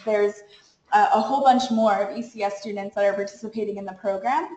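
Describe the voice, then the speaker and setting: A woman coordinator giving a webinar presentation, walking listeners through slides in an upbeat, explanatory way. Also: on the high side. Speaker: study abroad program coordinator. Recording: echoey room.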